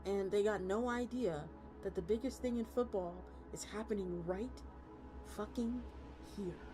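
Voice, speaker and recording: A woman's voice: mid-range voice, unhurried. A woman streamer reading a story aloud in a casual, conversational way. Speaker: VTuber streamer. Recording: music playing behind the voice. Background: background music.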